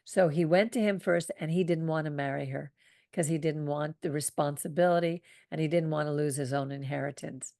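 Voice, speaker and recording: Soothing low-voiced woman teaching scripture. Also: steady tone, a little mellow, dry recording, clean studio sound. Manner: soothing, unhurried teaching with small laughs. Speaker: Torah study host. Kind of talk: reading and commenting on scripture.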